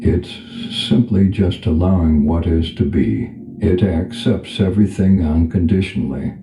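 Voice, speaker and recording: A man leading a guided meditation as a calm spiritual teacher, speaking in a gentle, unhurried, instructional manner. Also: sits low, slight background noise. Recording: echoey reverb over background music. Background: music.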